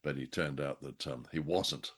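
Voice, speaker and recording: A man's voice: a bass voice, normal speed, a bit bright. A middle-aged or older man co-hosting a casual review chat, with a wry, droll, rambling way of talking. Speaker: co-host of a radio drama review show.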